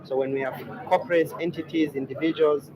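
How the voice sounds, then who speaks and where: A man's voice: fairly high pitch, fairly even intonation, a little fast. A man giving formal remarks to the press as a hospital official. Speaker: hospital medical superintendent. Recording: noisy outdoor crowd behind. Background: loud crowd chatter.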